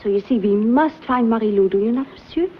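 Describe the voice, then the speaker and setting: An actress playing a flustered French schoolteacher. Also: female voice, a little high, a little hiss or hum, close to an everyday voice. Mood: worried. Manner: flustered, pleading, halting. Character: anxious young French schoolteacher woman. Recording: dull old TV soundtrack.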